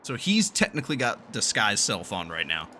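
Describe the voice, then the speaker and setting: A man's voice: lowish voice, sing-song, normal speed, sharp tone, a little hiss or hum. A man giving casual, playful gaming commentary, tossing in jokey interjections as he reacts to a story. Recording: game music behind the voice. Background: video game music.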